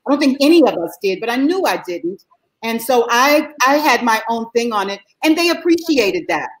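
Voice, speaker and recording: Woman, middle-aged, fairly high voice, casual video call, animated storyteller. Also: normal speed, a little hiss or hum, poor audio. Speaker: guest performer.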